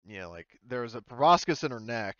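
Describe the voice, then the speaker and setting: A man acts as game master in a casual, hesitant way, feeling for the right words. His voice is smooth and sits at a middle pitch. The recording is dry and a little muffled. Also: big pitch swings, fairly quick, fairly bright tone, no echo. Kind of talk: casual tabletop roleplaying narration and chat. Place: voice chat in an online game session.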